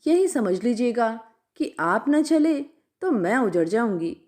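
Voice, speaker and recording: A cajoling betel-seller woman from a storybook tale, acted out by a woman narrator. She talks in a high voice with lively swings. She is flirtatious, chatty and stubbornly insistent. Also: unhurried. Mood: wheedling, insistent.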